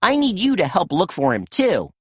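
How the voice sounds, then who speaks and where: A woman voicing a confident young boy who leads a team of rescue pups, calm and encouraging as he gives orders. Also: lowish voice, normal speed, slightly rough, dry recording, clean studio sound, exaggerated voice.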